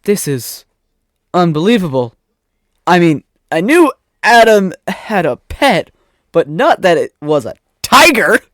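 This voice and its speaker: A man voicing an excitable, incredulous young male friend in an audio story, speaking emphatically. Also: high voice, takes it slowly, no echo, studio quality, voice pushed up, exaggerated voice. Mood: astonished.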